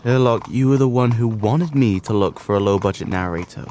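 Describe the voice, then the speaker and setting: An actor playing a sensible, long-suffering doctor and detective's sidekick with a dry manner, in a comic radio drama. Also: male voice, fairly low pitch, fairly quick, a little mellow, slight background noise, close to an everyday voice. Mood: exasperated. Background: music.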